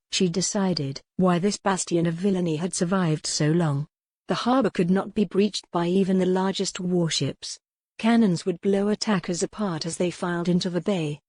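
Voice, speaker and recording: Woman, audiobook narrator, lowish pitch, measured scripted storytelling, dry studio-like sound with no echo. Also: darkish tone. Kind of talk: scripted narration of a historical romance novel.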